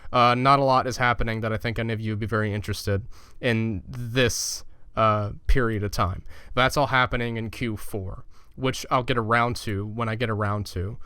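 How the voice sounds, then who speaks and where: A man's voice: lowish voice, fairly even intonation, moderate pace, bright, forceful tone, clear, resonant tone, no echo, studio quality. A young man giving a casual, profane channel update as a gaming YouTuber, loose and chatty with lots of fillers.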